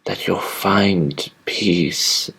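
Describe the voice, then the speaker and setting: Brief: a man doing a fading little engine, hushed and husky, slow and soft. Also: deep voice, full character voice, rough recording. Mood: tender. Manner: breathy whispering, slow and tender. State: weak, dying. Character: dying small male engine, gentle and loyal. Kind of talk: fan audio drama acting.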